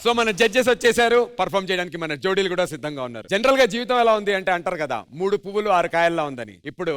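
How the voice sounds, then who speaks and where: A male stage host with a high voice and quick delivery. He announces with theatrical emphasis and lots of energy, as for a big dance show, with music behind him. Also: lively intonation, fairly bright tone, clear, resonant tone. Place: TV studio stage.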